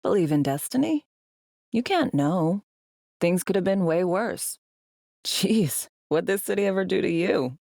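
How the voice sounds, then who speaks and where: A woman's voice: fairly low pitch, animated, a little slow, gravelly, darkish tone, no echo. A woman acting a world-weary undercover agent in a video game, with a dry, sardonic, conversational delivery. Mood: wry. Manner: dry, sardonic, slangy and clipped. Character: world-weary, wry female undercover spy in a dystopian city.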